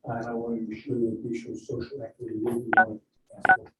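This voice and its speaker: A man's voice: mid-range voice, flat delivery, fast. A man chairing a public meeting, courteous and procedural as he calls speakers and invites questions. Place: meeting room. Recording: distant roomy mic with noise.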